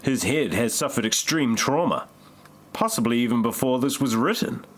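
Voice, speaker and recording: A man's voice: medium pitch, expressive, moderate pace, lightly acted. A man playing a flippant hospital doctor in a parody audio play, mock-grave and wry.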